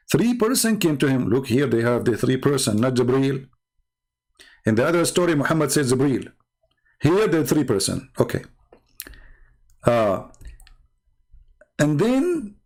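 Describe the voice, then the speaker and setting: Gravelly, mocking man with an Arabic accent. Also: a little high. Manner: mocking, incredulous, with rhetorical questions. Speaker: host of a religious commentary show. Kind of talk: informal polemical commentary on read-out texts.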